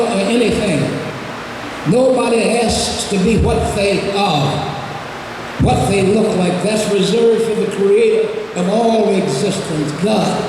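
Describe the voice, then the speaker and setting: A high-voiced man speaks slowly and deliberately, lecturing with earnest emphasis. He is picked up from well off the microphone, and his voice rings with a long echo through a large, noisy hall. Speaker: audience speaker giving a goodwill message. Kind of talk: impassioned public address. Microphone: awkward handheld microphone. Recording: echoey hall from far off, noisy.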